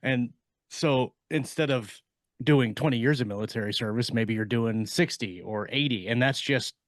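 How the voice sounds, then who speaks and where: A man co-hosting a casual panel discussion, talking in a halting, hesitant way as he works toward his point. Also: mid-range voice, no echo. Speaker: podcast co-host.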